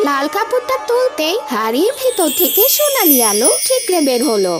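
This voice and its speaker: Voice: extremely high pitch, wildly swooping pitch, moderate pace, over music. A narrator reading a scripted children's cartoon story.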